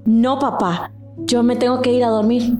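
An actress playing a defiant, wounded young daughter in a radio drama, plaintive and emotional. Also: female voice, a little high, flat delivery, normal speed, lightly acted. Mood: weary. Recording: roomy echo, loud music underneath.

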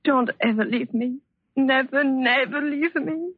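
A woman's voice: high-pitched, unhurried, husky, no echo. An actress playing a desperate, clinging woman in love in a 1940s radio melodrama. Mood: anguished, pleading. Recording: muffled, narrow old 1940s radio recording.

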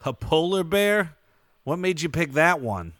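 An actor playing a cocky vampire biker thug, goading and theatrical with mock-grandiose flourishes. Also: male voice, a little high, sing-song, unhurried, fairly bright tone, no room sound. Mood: goading, smug. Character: cocky male biker-type vampire thug, taunting ringleader.

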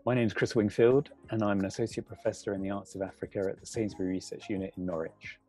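A man co-hosting a podcast, reading a measured scripted introduction. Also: lowish voice, fairly even intonation, music in the background. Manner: measured, read-aloud delivery. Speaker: podcast co-host, associate professor.

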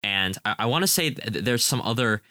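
A man's voice: medium pitch, expressive, fairly quick, pushed and bright, dry recording. A young man co-hosting a casual soccer preview, talking enthusiastically and rambling with frequent restarts. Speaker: podcast co-host.

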